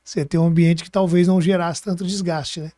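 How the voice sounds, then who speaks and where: A man's voice: high-pitched, little pitch movement, quick pace, mellow, no echo, clean studio sound. A man with a Brazilian Portuguese accent chatting informally as a podcast host, thinking aloud as he goes. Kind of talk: casual podcast conversation.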